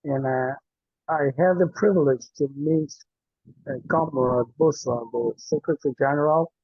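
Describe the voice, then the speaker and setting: A man from Eastern Congo introducing himself as an activist in a video meeting, halting and searching for words. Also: a little high, breathy edge, no echo. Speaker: activist and party official. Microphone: video call. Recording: dull, dry video-call audio.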